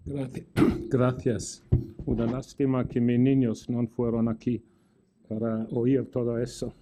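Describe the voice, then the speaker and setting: A man's voice: mid-range voice, little pitch movement, slow pace, noisy background. An older man with an Italian-tinged accent giving a formal lecture in careful non-native Spanish, with wry asides and restarted words. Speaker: guest lecturer. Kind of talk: formal lecture with dry jokes.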